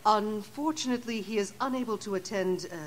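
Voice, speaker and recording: A woman's voice: medium pitch, fairly quick, lightly acted, low-quality recording. An actress playing a formal, dignified Victorian lady mayoress in an audio drama, with a stately, official manner. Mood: formal, diplomatic.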